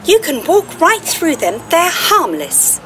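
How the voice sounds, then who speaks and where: Chirpy ai helper for an audio drama, high n super bouncy, salesy. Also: female voice, huge pitch swings, slow pace, fairly bright tone, a little hiss or hum, full character voice. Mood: soothing. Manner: perky, coaxing salesy delivery. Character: chirpy, upbeat female AI assistant.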